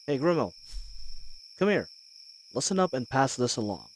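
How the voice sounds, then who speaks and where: A cocky bandit leader, acted by a man, talks in a folksy, wry way with lively swings of pitch. He is wary and scheming, speaking straight to his accomplice in a fantasy audio drama. Crickets and night insects sound faintly behind him. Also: moderate pace, slight background noise, lightly acted, low-quality recording. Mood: hushed, commanding. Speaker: voice actor in a scripted roleplay audio. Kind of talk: scripted fantasy audio roleplay, addressing the listener.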